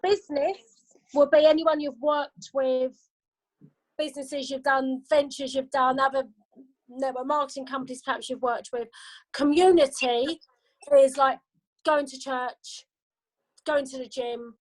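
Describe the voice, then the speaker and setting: A woman's voice: high-pitched, fairly even intonation, measured pace, pauses often, rough recording. A woman guest trainer answering questions on a video call, informal and explaining with lists of examples.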